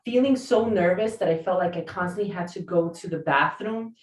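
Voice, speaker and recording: A woman's voice: fairly low pitch, a little fast. A woman podcast host whose first language is Spanish, speaking reflectively and casually with frequent fillers. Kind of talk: conversational interview. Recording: roomy sound from a distance.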